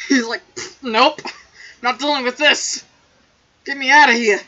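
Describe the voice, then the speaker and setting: Young female game streamer: goofy casual chatter, bright and sharp, slightly lo-fi bedroom mic. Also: high voice, steady tone, unhurried. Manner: playful, goofy, breaks into song. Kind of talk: casual livestream chat.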